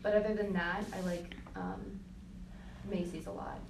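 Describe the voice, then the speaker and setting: A 21-year-old woman answers focus group questions in a casual, upbeat way. She sounds far from the mic in an echoey room, with steady background noise. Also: fairly low pitch, measured pace, vocal fry.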